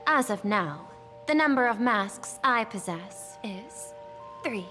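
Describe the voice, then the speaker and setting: A woman voicing a composed, courteous, calculating corporate envoy in a game, with formal, measured, polite delivery. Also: on the high side, expressive, bright, forceful tone, heavily acted. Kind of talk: scripted game voice acting. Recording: long reverb, music behind.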